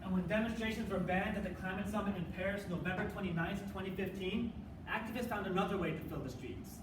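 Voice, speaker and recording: A young woman delivers a rehearsed dramatic stage speech in a medium-pitched voice. She performs as one of a student readers theater group. The sound is echoey and noisy, captured from across the room. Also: quick pace, recorded from a distance. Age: young adult. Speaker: readers theater performer on a college forensics team.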